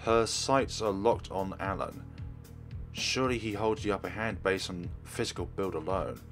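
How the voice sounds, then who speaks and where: A man's voice: deep voice, normal speed, a bit bright, faint music behind. A man narrating a visual novel aloud as a let's play host. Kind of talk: reading visual novel aloud, narrating and doing character voices.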